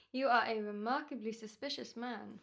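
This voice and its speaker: A woman's voice: on the high side, fairly even intonation, creaky, fairly bright tone. A woman playing a courteous, sinister, knowingly amused doctor in an old-time radio drama. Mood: cool, polite. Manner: polite, measured, knowingly amused. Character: suave, sinister, courteous doctor scheming over murder.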